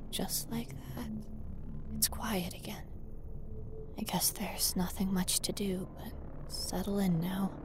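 A woman playing a nervous, wry paranormal investigator in an acted first-person horror audio drama, talking in a conversational, self-aware way. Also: fairly low pitch. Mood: subdued, musing. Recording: noisy ambient sound bed behind voice.

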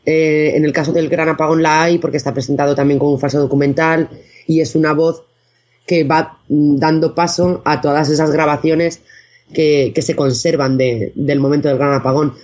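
The recording is dull and lo-fi. A woman speaks as an interview guest, thinking aloud. She pauses and hesitates as she searches for the right words. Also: deep voice, steady tone, darkish tone. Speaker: interview guest, audio fiction maker. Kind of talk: thoughtful interview talk.

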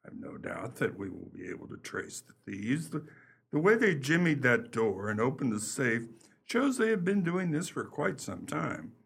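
A man acts as a methodical, deferential police detective in a radio play. His voice is gravelly and husky, with lively rises and falls, and his delivery is polite and a little halting. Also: medium pitch, heavily acted. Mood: puzzled. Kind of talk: amateur radio play acting.

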